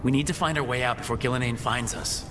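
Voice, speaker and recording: An actor playing an alert, pragmatic fantasy adventurer in a video game, with a conversational, matter-of-fact delivery. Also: male voice, mid-range voice, steady tone, fast talker, slight background noise, lightly acted. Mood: thoughtful, alert. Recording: loud game music behind the voice.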